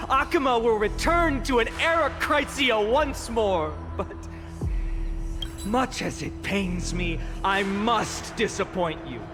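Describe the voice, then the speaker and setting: A solemn young hero speaks to a whole city in a game cutscene. His voice sits high and his delivery is slow and deliberate, each phrase weighed. Orchestral music plays strongly beneath him. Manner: solemn, impassioned public address. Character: young male hero, earnest orator addressing a city.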